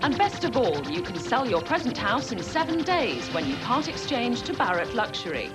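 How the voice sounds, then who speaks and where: A British woman delivers a scripted advertising pitch over loud music. Her voice is fairly high, with very varied intonation. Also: big pitch swings, normal speed, low-quality recording.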